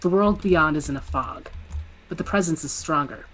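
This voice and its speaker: Female game master, laid-back and lightly amused, slightly low-pitched, narrating the scene. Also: normal speed, a bit bright, slight background noise, low-quality recording.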